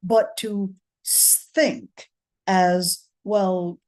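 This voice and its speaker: Slow, low-toned woman lecturing. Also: fairly even intonation, a little mellow. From Palestine. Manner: explanatory, repeats points, checks in with "okay?". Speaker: teacher of Quran commentary. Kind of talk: classroom lecture.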